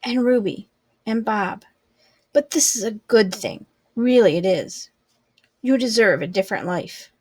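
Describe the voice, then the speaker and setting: A woman voicing an earnest, tender-hearted young girl in a read-aloud storybook, with a pleading, animated manner. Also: medium pitch, measured pace, breathy edge, no room sound. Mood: tender farewell.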